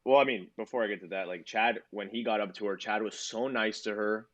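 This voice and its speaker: Twenties guy on a podcast, low voice, rambly and sweary, kinda muffled lo-fi sound. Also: steady tone, a little fast, fairly bright tone. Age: 20s. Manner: rambling, swears a lot, many fillers. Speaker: podcast co-host. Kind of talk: casual chat.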